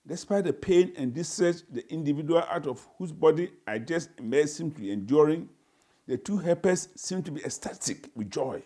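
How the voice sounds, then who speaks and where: A man's voice: on the high side, lively intonation, moderate pace, warm tone. Indignant newborn for a dramatized story, wordy and pompous, Ghanaian accent, played by a man. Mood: puzzled, concerned. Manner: formal, pompous, florid phrasing. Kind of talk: dramatized first-person reading.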